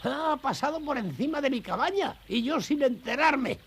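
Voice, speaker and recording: A man voicing a cranky, eccentric old gold prospector in a Spanish cartoon dub, muttering excitably in a gravelly, high voice. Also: normal speed, slight background noise, exaggerated voice. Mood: flustered. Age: older. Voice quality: creaky, gravelly, high-pitched. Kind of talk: animated cartoon dub acting.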